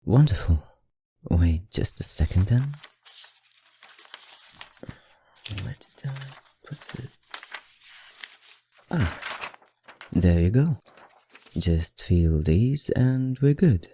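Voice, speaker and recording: A man playing a courteous, teasing, ancient vampire librarian in an ASMR roleplay, speaking genteelly with a put-on accent in a low, husky voice. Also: animated, slow pace, long pauses, a little hiss or hum. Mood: polite, helpful. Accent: put-on, not his own. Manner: genteel, old-fashioned, softly teasing. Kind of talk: ASMR roleplay, addressing the listener.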